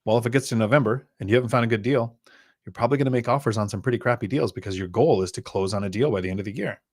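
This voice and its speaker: A man's voice: mid-range voice, racing, a little mellow. A man from Thailand, a guest investor, giving blunt, emphatic advice in a casual podcast chat. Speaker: guest real estate investor.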